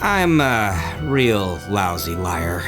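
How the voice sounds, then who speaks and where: A man's voice: deep voice, big pitch swings, very slow, heavily acted. A man playing a boisterous, hot-headed but big-hearted young gang leader in an anime-style video game, with slangy, exclamatory talk. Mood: earnest, confessing. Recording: music behind the voice. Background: game music.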